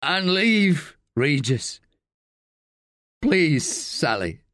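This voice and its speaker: A dying man, weary and resigned, speaking slowly with an earnest urgency, as a reader puts him on. The voice is a little raised and animated, close and dry. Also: a little high, no room sound, pitched above the performer's own voice, full character voice. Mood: urgent, resigned.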